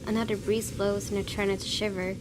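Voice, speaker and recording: A woman's voice: mid-range voice, level tone, a little fast, heavy background noise. A woman reading visual novel narration aloud expressively as a gaming video host. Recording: clear music under the voice.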